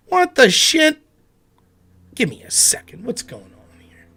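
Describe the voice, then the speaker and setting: A man's voice: extremely high pitch, bright, forceful tone, slightly rough. A man from Pennsylvania hosting a casual livestream on a headset at home, grumbling to himself with mild curses and airing blunt political opinions.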